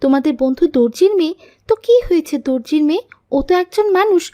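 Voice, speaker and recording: A woman plays an earnest young cartoon girl with a high voice. She is pious and polite, and she explains right and wrong like a small teacher in a scripted moral tale. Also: lively intonation, quick pace, warm tone, no room sound, studio quality. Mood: firm, admonishing. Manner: polite, didactic. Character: pious, earnest little girl who corrects others politely.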